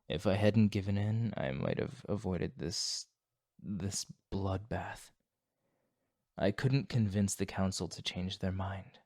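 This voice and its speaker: A man's voice: low-pitched, dry recording, studio quality, full character voice. An actor playing a courteous, anxious young male vampire who speaks formally in an ASMR roleplay. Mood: regretful. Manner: formal, polite, hushed and breathy asides, sighs. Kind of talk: ASMR roleplay, scripted monologue to the listener.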